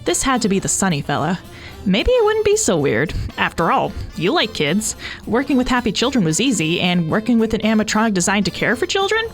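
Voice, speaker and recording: A woman narrating fan fiction as an expressive scripted audio story. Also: medium pitch, brisk. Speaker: podfic narrator. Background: faint music.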